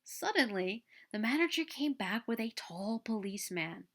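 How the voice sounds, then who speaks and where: A woman narrates a children's story aloud. Her voice sits a little high and moves up and down with great animation, as an expressive storyteller's does. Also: normal speed. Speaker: children's story reader at a library. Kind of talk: expressive picture-book read-aloud narration.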